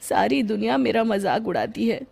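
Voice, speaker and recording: A woman's voice: on the high side, expressive, normal speed, creaky, very noisy, voice pushed up. A woman voicing a self-pitying bald young man who speaks plaintively. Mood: pleading. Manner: pleading, plaintive.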